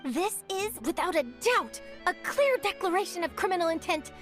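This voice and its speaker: A woman playing an eager young journalist chasing a scoop, brisk, upbeat and theatrical. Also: very high voice, big pitch swings. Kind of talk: video game voice acting. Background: game score music.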